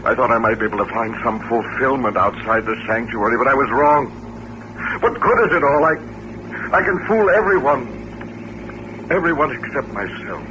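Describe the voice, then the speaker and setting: A man's voice: mid-range voice, normal speed, lightly acted. Guy acting lonely brooding mutant man w/ mind powers, old radio play, bossy and intense, muffled hissy sound. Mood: anguished, bitter. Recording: noisy, band-limited vintage radio recording.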